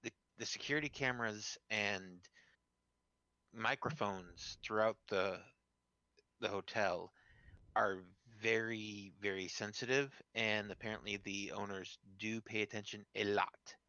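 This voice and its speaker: A man with a fairly low but forceful, bright voice talks casually in halting phrases. He comes through a dull, lo-fi voice chat with little room sound. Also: fairly even intonation, no echo. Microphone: online voice chat.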